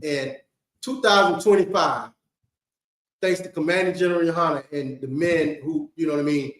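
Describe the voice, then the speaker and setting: A man leads a holy day gathering in a loose, chatty preaching style, peppering his talk with "okay?" and "you know what I mean?". His voice sits a little high for a man, and the room answers back with a noticeable echo. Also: normal speed, a bit bright. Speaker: congregation leader. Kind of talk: informal sermon to a congregation. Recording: echoey hall.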